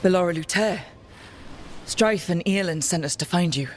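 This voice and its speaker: Woman playing a dry, low-key fantasy adventurer. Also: moderate pace, slight background noise, lightly acted. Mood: serious. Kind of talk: cinematic video game dialogue.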